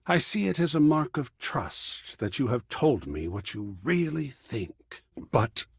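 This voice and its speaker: An actor playing an effusive, romantic living artwork in a video game, with florid, rhapsodic, theatrical delivery. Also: male voice, mid-range voice, sing-song, vocal fry, husky, dry recording, studio quality, exaggerated voice. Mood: thoughtful, gracious. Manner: florid, rhapsodic, savouring pauses, swooping dramatically. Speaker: voiced dateable character in a dating simulator game.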